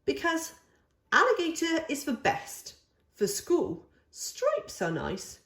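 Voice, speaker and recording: A woman's voice: sits high, huge pitch swings, slow pace, a bit bright, roomy sound, voice pushed up, exaggerated voice. A woman voicing a patient, persuasive young boy, the older brother in a storybook, coaxing and reasoning. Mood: patient, reassuring.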